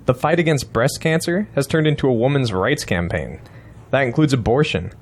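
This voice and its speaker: A man acting as a male political campaign strategist in an audio drama, with a mid-pitched voice. He is blunt and insistent, lecturing his client as he presses his case. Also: slight background noise.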